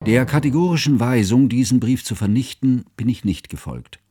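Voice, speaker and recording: An actor playing an educated male scholar who narrates his friend's life in a measured, formal, pedantic way for a literary radio drama. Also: mid-range voice, noisy background, lightly acted. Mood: scholarly, measured.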